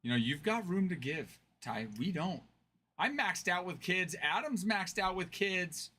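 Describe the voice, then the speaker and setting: Male stream host, high pitch, jokey, laughs a lot. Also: lively intonation, measured pace, pushed and bright. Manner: joking, laughs often. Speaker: card break host. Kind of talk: casual livestream banter.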